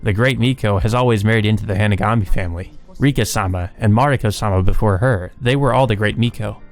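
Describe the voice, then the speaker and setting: A stern old innkeeper woman who talks slowly and with formal gravity, sitting in the man's own low register, as a man reads her lines. Her words carry an ominous, old-fashioned weight. Faint music runs underneath. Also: lightly acted. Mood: solemn.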